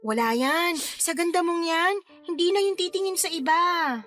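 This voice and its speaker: A high, emotional voice of a hurt young woman in a Filipino radio drama. She is firm and reproachful with her lover. Soft music plays underneath. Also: normal speed. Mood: dismissive.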